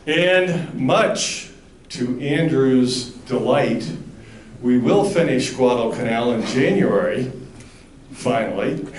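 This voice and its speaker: A man hosting a library history forum, introducing the speakers in a loose, joking way with many fillers. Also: medium pitch. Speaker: forum host. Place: library meeting room. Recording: echoey room with faint noise.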